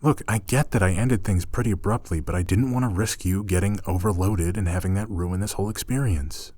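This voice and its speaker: A man acting an affectionate, teasing roommate in an intimate ASMR roleplay, soft-spoken and playful. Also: deep voice, a little fast, no room sound. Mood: startled, flustered. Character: affectionate, teasing male roommate gently pampering a shy catgirl.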